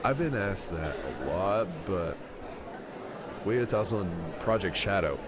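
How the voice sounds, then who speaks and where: Man as a deep, slow, wary soldier, crowded background. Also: lively intonation. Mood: wary, subdued. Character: wary, laconic military man on covert mission. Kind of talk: radio drama dialogue. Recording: distant, very noisy with loud crowd ambience.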